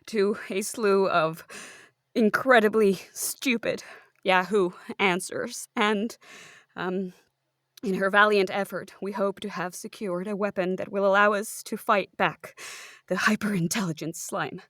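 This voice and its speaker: A woman playing an earnest, dim hospital worker with a halting, solemnly dramatic delivery in a comedy audio drama. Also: medium pitch, steady tone, fairly bright tone, no echo, clean studio sound, lightly acted. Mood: mock solemn.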